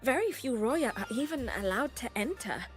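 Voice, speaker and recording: Brief: a woman as an eager young female student, high-pitched, faint music behind. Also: lively intonation, normal speed, natural voice.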